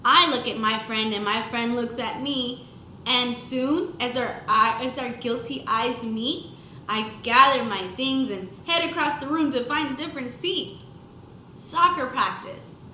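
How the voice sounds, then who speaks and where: A woman's voice: on the high side, unhurried, sharp tone, reverberant, a little hiss or hum, rough recording. A woman teacher reading a children's book aloud expressively to young pupils, acting out the lines and adding short explanations. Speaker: first grade teacher.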